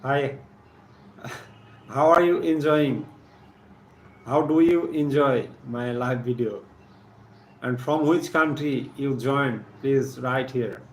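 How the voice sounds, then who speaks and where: A man with a Bangladeshi accent giving a live chemistry lesson, partly reading aloud in a halting, deliberate manner, repeating phrases. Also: mid-range voice. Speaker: science teacher hosting a live stream. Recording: echoey room with faint background noise.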